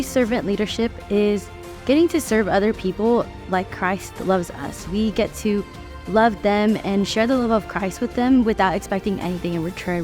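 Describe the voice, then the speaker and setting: Earnest young woman over soft music. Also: medium pitch. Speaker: student interviewee. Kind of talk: testimony in a tribute video.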